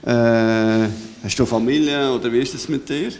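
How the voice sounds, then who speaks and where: A man with a Swiss German accent leading a church service, interviewing a guest in a chatty, halting way and praying. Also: mid-range voice, steady tone, a little mellow. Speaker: pastor.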